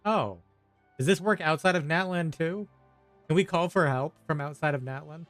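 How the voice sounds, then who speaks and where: A woman game streamer with a low-pitched voice, close and dry on the mic. She comments casually and offhandedly, with game music faintly behind her. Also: normal speed, dry recording.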